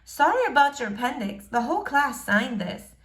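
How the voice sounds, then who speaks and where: A woman's voice: high voice, big pitch swings, normal speed, a bit bright, voice pushed up. A woman voicing a perky, friendly schoolgirl in a storybook reading, chatty and upbeat. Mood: shy, friendly.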